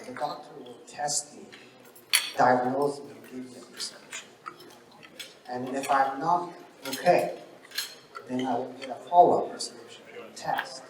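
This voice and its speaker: A man with an Afghan accent addresses a panel from the floor in short, emphatic, halting phrases. His voice is on the high side and comes from off-mic in an echoey room with faint clatter. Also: distant mic, noisy background. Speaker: audience member asking a question. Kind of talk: audience question to a panel. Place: dinner event hall, audience floor.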